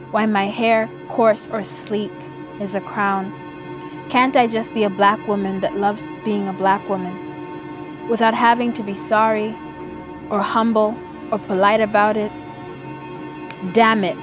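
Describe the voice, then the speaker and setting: A young woman from Malawi, a poet, reading her work with passion and talking candidly in an interview. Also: mid-range voice. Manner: impassioned in reading, relaxed and candid in talk. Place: indoor room. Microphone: lapel mic. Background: background music.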